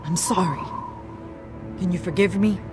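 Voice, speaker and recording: A woman's voice: on the low side, a little slow, music in the background, natural voice. An actress playing an earnest, determined policewoman in a video game, sincere and resolute. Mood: earnest, apologetic. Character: earnest, apologetic, determined female cop.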